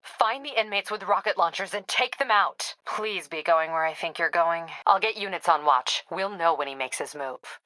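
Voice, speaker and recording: Forceful, no-nonsense police captain. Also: female voice, fairly low pitch, normal speed, few pauses, dry recording. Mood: brisk, dry. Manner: clipped, businesslike, dryly wry. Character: no-nonsense female police captain, brisk, dry, weary ally. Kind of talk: video game voice acting, radio dispatch briefings.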